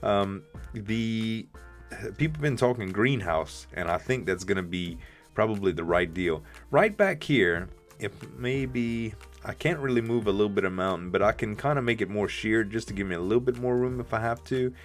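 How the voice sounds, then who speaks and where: A man with a deep voice, a bit rough from the morning, casually thinking aloud while building in a game he hosts. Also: expressive, moderate pace, soft background music. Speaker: let's play host. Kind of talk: casual gameplay commentary.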